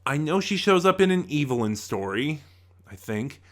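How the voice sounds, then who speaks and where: A man's voice: fairly high pitch, big pitch swings, normal speed, fairly bright tone. A man giving review commentary in an informal, explanatory way, correcting himself as he goes. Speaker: reviewer, channel host. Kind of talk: semi-scripted audio drama review commentary.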